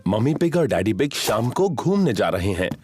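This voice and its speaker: A friendly storybook-style cartoon narrator, read by a man, explaining clearly. His medium-pitched delivery is animated, over soft music. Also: normal speed, natural voice.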